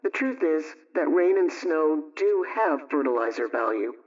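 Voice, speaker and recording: A man's voice: a little high, expressive, a little slow. A man presenting scripted household advice on radio, reading questions and answers through a dull, distant-sounding channel.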